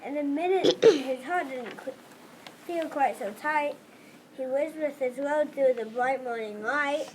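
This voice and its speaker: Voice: high voice, measured pace, low-quality recording. A young school-age child reading a story script aloud as a narrator, stumbling over some words. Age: child, elementary school. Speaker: readers theater narrator. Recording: background ambient noise.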